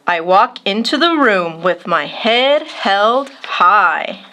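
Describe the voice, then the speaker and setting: A woman hosting a children's storytime, reading a picture book aloud in an expressive, measured way addressed to young listeners. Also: mid-range voice, a little hiss or hum.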